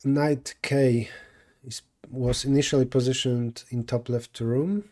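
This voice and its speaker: Mellow-voiced Polish man thinking aloud. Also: mid-range voice, steady tone, slow. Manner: thinking aloud, self-correcting mid-sentence. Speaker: streamer solving coding problems. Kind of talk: live coding stream, answering chat.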